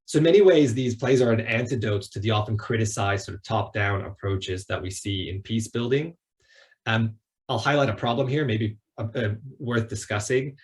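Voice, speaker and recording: A man speaking as an academic panelist, giving measured, analytical prepared remarks on a video call. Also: fairly low pitch. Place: online webinar.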